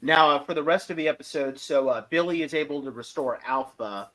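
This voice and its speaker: A man's voice: on the high side, brisk, fairly bright tone, poor audio. A man hosting a fan podcast, casually recapping and reviewing episodes with enthusiasm. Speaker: podcast host.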